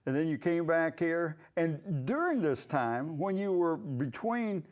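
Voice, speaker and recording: Male local sports interviewer, slightly high register, conversational, halting question delivery. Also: sing-song, a little mellow. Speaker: sports talk show host.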